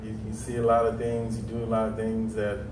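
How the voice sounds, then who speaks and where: A man in his thirties from Stockton, California with a deep voice, telling his life story on a panel, nervous and hesitant with many pauses. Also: monotone, echoey room, distant mic, noisy background. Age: 30s. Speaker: panel speaker. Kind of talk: nervous personal testimony. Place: large hall.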